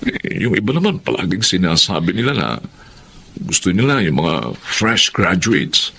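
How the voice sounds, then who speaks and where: An actor with a Filipino accent playing a proud, frustrated middle-aged jobless husband in a radio drama. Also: male voice, sits low, sing-song, moderate pace, bright, forceful tone, slight background noise, full character voice. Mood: dejected. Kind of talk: Tagalog radio drama acting.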